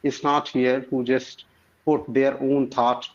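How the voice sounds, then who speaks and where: A man in his forties, a primary teacher, talking with a lot of pauses, "uh"s and polite "ma'am"s. His voice is middle pitched and comes through a slightly muffled, dull video call line. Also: little pitch movement. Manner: hesitant, many fillers, formal address. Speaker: primary school teacher, learner. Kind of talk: casual conversation practice. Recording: muffled lo-fi audio.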